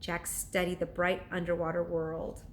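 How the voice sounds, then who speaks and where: A woman reading a children's story aloud like a teacher to pupils, with expressive, deliberate narration. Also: fairly low pitch, a little hiss or hum.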